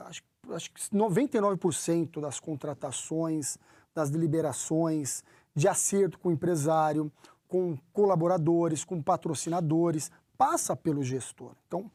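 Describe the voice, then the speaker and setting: A man from São Paulo, a football club executive answering interview questions in a measured way, often restarting his sentences. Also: a little high, warm tone, no room sound, studio quality. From São Paulo, Brazil.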